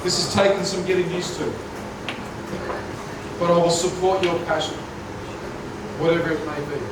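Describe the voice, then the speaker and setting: Groom, loud and sincere, light jokes; reception hall, distant mic, heavy echo. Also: male voice, on the high side, little pitch movement, normal speed, noisy background. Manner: heartfelt, with a few light jokes. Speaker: groom giving wedding speech. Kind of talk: prepared wedding speech. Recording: echoey hall, voice from far off.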